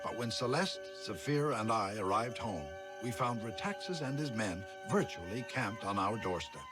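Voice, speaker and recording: A man playing a dignified, kindly father and ruler in a children's cartoon, speaking earnestly in measured, formal phrases. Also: fairly low pitch, expressive, lightly acted. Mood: grave, reflective. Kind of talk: animated series voice acting. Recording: music under the voice.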